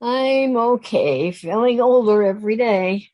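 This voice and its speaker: A woman acting a grieving, emotional woman in her late fifties in a play reading, speaking in a halting, heartfelt way. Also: a little high, dark tone, lightly acted. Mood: subdued. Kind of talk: play reading, naturalistic dialogue.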